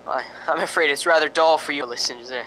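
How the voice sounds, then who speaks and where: A man's voice: fairly high pitch, fast, bright, forceful tone, slight background noise, low-quality recording. A man playing a nervous, lonely radio reporter in a spooky radio drama, stammering and rambling with sighing ohs. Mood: uneasy, rambling. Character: jittery, lonely radio reporter broadcasting from a haunted house.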